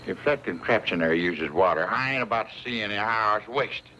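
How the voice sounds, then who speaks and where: A man acting as a seasoned frontier scout with an American accent and a lively, swinging pitch. He is gruff, commanding and folksy, in radio western style. The recording is dull and old, with faint noise. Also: moderate pace. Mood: firm.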